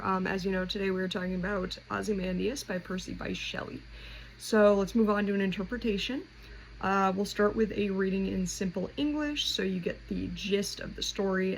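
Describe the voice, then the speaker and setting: Creaky-voiced woman explaining casually, noisy recording. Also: mid-range voice. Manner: informal, conversational, thinking aloud. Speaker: host of a poetry explainer. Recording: clearly noisy home recording.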